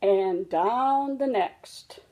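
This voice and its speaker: A woman reading a children's storybook aloud, expressively and deliberately for young listeners. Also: medium pitch, warm tone. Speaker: storybook reader. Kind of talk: children's read-aloud narration.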